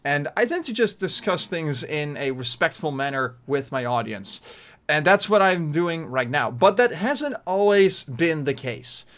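A man's voice: fairly high pitch, measured pace. A young Dutch man in his twenties with a Dutch accent, talking casually to his webcam from his bedroom, rambling with lots of fillers and restarts. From Netherlands. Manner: animated hand gestures while talking. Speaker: YouTuber. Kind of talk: casual vlog talk to webcam. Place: small bedroom, indoors. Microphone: desk mic in front of webcam.

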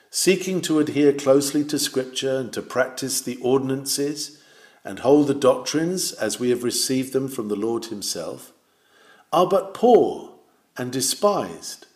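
A man's voice: medium pitch, lively intonation, measured pace, a little mellow, echoey room. A man reading an old sermon aloud in a solemn, exhorting manner, with rising and falling emphasis. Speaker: reader of a historical sermon.

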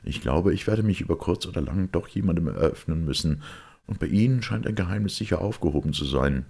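A man voicing a gloomy, anxious young secretary in an audiobook, troubled and hesitant, with a husky voice. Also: low-pitched, lively intonation, brisk, a little mellow, voice pushed up, full character voice. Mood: troubled confiding.

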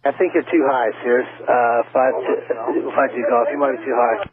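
A man's voice: mid-range voice, steady tone, normal speed, phone-quality sound, a crowd in the background. An American man working as an air traffic controller, giving informal, reassuring instructions over the radio. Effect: radio transmission.

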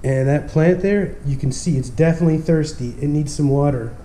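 A young man with a congested, stuffy voice gives a cheerful walking tour, talking straight to his viewers as he moves through empty rooms. His voice sits a little high but sounds soft and mellow, picked up on a handheld action camera. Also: brisk, slight background noise. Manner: upbeat, keeps addressing viewers as guys. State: stuffy from a cold. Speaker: urban explorer vlog host. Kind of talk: casual walkthrough narration. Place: empty abandoned mansion, indoors.

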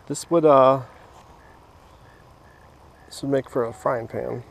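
A man chats casually in an easygoing, halting way while fishing outdoors. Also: mid-range voice, fairly even intonation, gravelly. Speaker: angler filming a fishing outing. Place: outdoors on a grassy pond bank. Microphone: body-worn action camera near the speaker. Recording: noisy outdoor action camera, nature ambience.

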